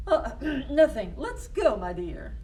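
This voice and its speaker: Give the sly big bad wolf of a children's storybook, played by a woman. The voice is theatrical and falsely sweet, fairly high and swooping in pitch. It coaxes hungrily, heard a little far from the mic in a noisy room. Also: sing-song, takes it slowly, mellow. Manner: exaggerated coaxing, theatrical drawl. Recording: noisy room, distant microphone.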